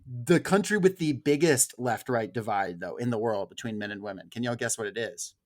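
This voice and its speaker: A man's voice: medium pitch, a little fast, darkish tone. A young American man talking casually as a podcast co-host, telling stories in an informal, rambling way.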